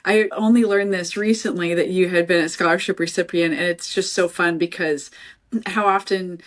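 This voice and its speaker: A woman hosting a podcast interview, enthusiastic and quick with affirming interjections. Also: lowish voice, steady tone. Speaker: podcast host, nonprofit director.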